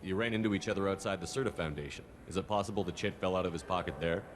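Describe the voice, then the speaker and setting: An actor playing an assertive military investigator in a video game, direct and firm. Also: male voice, low voice, very fast, a little hiss or hum, natural voice. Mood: inquiring.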